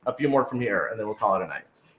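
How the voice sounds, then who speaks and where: A man's voice: on the low side, big pitch swings, racing, a crowd in the background. A man speaking as a radio drama creator, answering listener questions in a casual, conversational way with a hedging style. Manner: conversational, hedging with lots of "like" and "sort of". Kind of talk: casual live Q&A chat. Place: indoor room with other people. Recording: roomy, echoey room sound.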